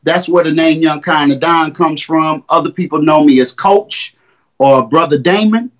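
Boastful fifty-year-old man, slightly high voice. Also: moderate pace, a bit bright. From Indiana, US. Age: 50s. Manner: boastful, streetwise slang. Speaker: guest, rapper and coach. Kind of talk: casual interview. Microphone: makeshift mic, not a studio one.

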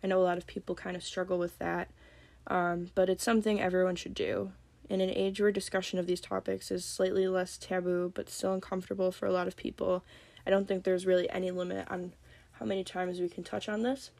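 A young woman with a slight cold hosting a solo podcast, talking calmly and gently. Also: lowish voice, a little fast, creaky, no room sound. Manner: calm, gentle, reflective. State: has a cold. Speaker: podcast host. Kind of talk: solo podcast talk on mental health.

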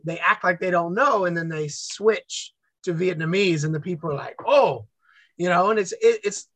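An upbeat man talks casually as an interview guest, telling stories with animation. His voice sits high and rings bright. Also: no echo. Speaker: guest content creator.

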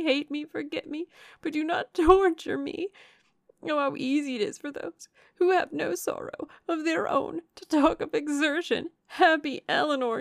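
A woman's voice: sits high, vocal fry, no room sound, clean studio sound, pitched above the performer's own voice. A woman narrator voicing an anguished, heartbroken young woman from a classic novel, passionate and exclaiming wildly. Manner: wild, exclaiming, lamenting.

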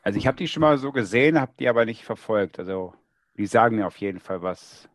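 Low-voiced man, close and dry. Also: lively intonation, fast talker, no room sound. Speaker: podcast panellist. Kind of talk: casual chat.

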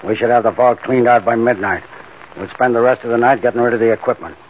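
An actor playing a tough, confident crook who masterminds heists, in 1940s radio style, with slangy gangster talk. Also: male voice, medium pitch, fairly even intonation, fast, natural voice. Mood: cocky, businesslike. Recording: muffled, noisy old-time radio recording.

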